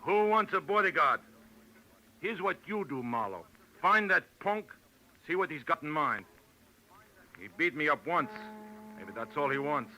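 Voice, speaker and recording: An American actor playing a gruff, vengeful, suspicious racketeer boss in a 1940s radio crime drama, talking blunt slang. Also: male voice, on the high side, expressive, unhurried, pauses often, pushed and bright, exaggerated voice, low-quality recording. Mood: demanding. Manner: blunt, slangy tough-guy talk.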